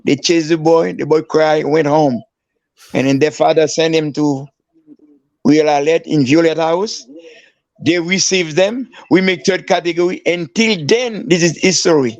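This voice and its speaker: An older man from Haiti, a former footballer, telling stories in an interview with many halting restarts and fillers. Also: a little high, no echo. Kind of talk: storytelling interview.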